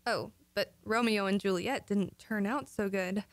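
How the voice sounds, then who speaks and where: A dizzy, sweetly naive wife acted by a woman in an old-time radio comedy. She sounds innocent and earnest at a medium pitch, recorded close and dry. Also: fairly even intonation, measured pace, no echo, close to an everyday voice. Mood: naive, wondering.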